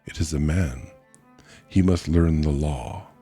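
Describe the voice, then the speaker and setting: A man voicing a hunched male beast-man who slowly intones laws in a thick, sing-song voice for a storybook reading. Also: very deep voice, warm tone, breathy edge, soft background music, exaggerated voice. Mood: commanding. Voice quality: thick voice with whistling overtone. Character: hunched male beast-man chanting law, solemn, menacing.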